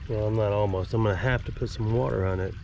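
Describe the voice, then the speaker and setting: A man's voice: lowish voice, fairly even intonation, fast talker, creaky, background noise. A man with a Southern accent and a stuffed-up nose, narrating casually and rambling in a folksy, self-amused way while wading through a creek. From Alabama. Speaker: creek explorer vlogger. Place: outdoors wading in a city creek.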